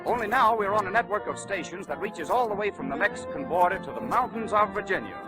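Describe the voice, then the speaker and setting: A man's voice: high-pitched, expressive, fast, music in the background. A man announcing a live 1930s radio show from a script, with an emphatic, promotional delivery, on a vintage broadcast recording.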